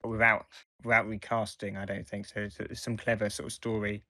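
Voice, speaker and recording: Man, podcast co-host, lowish pitch, bright sharp tone, dry sound, halting casual chat. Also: flat delivery, no room sound. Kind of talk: casual fan review chat.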